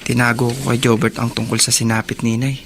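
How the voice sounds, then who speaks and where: A man's voice: mid-range voice, flat delivery, quick pace, noisy background, natural voice. A man with a Filipino accent playing a troubled, guilt-burdened, protective older brother in a radio drama. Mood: guilty, sombre.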